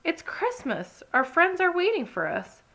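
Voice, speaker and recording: A woman's voice: extremely high pitch, huge pitch swings, measured pace, fairly bright tone, pitched above the performer's own voice, full character voice. A woman voicing a bossy, caring female rabbit in a children's storybook, exclaiming and encouraging in a cheerful way. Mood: insistent, excited.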